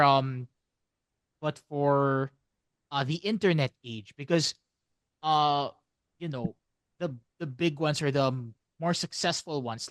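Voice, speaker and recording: A man with a fairly high, very clear voice, recorded close and dry. He explains things as a podcast co-host in a casual, halting way, often repeating himself. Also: fairly bright tone, no room sound. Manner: halting, circles back and repeats phrases.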